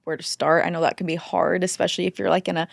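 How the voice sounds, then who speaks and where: A woman's voice: lowish voice, level tone, fast, vocal fry, no room sound. Candid, informal woman guest in dry sound. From Southern United States. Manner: informal, candid, acts out quoted remarks. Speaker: podcast guest, former teacher. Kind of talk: casual candid chat.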